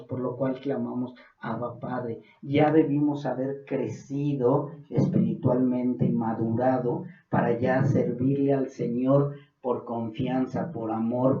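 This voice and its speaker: A man with a Mexican accent preaching a Bible study sermon, earnest and building to fervent emphasis. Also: mid-range voice. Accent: Mexican Spanish. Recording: distant, dull, echoey room.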